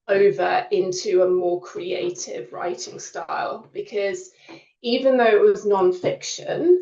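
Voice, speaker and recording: A woman's voice: lowish voice, creaky. A woman giving an informal, reflective testimonial as a creative writing student at a virtual open day, explaining things with some hedging. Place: indoor room on a video call. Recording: roomy, distant, slightly dull online call.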